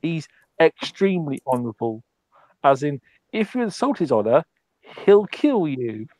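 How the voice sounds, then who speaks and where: A man's voice: on the high side, sing-song, normal speed, darkish tone, no echo, low-quality recording. A man speaking in character during a tabletop roleplay, hesitant, with many ums and restarts.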